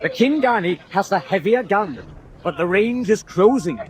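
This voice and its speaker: A man's voice: high voice, animated, moderate pace. A man playing an alert eyewitness narrator reporting action in a scripted radio drama. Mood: tense, watchful. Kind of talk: scripted battle commentary in a drama.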